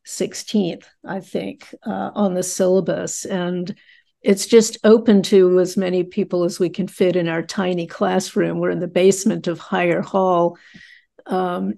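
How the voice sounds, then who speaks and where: A woman instructor describing her class in a measured way, with frequent fillers. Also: on the low side, flat delivery, creaky, dark tone. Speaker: course instructor. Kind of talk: casual workshop remarks.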